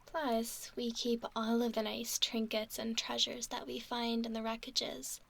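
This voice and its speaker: A woman playing a curious, affectionate mermaid in an ASMR roleplay, speaking gently and playfully, often in a whisper. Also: fairly high pitch, completely flat delivery, a little slow, creaky, full character voice. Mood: fond, curious. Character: curious, affectionate young female mermaid named Valerie.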